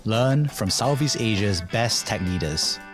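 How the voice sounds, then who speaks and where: A man's voice: fairly low pitch, lively intonation, measured pace, over music. A man hosting a podcast, greeting a guest and asking friendly questions after reading a scripted intro. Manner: upbeat, friendly interviewer. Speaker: podcast host.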